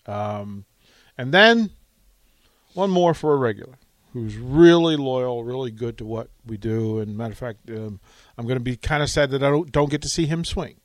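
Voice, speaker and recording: A man's voice: medium pitch, lively intonation, normal speed, dry recording. A man hosting a radio show in casual chat, often repeating phrases for emphasis. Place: radio studio.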